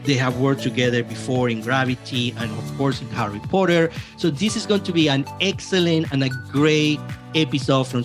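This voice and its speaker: A man hosting his podcast from his basement, talking in a lively, chatty way with small restarts. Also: medium pitch. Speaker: podcast host. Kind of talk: enthusiastic podcast introduction and interview. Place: home basement.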